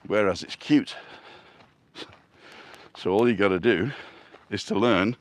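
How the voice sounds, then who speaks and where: A man's voice: lowish voice, slow. A man with a Yorkshire accent, chatting and joking on a live walk outdoors by the sea. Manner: chatty, joking, reads viewer comments aloud. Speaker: vlog host. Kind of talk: casual live-stream walk chat. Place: outdoors on a seaside walkway.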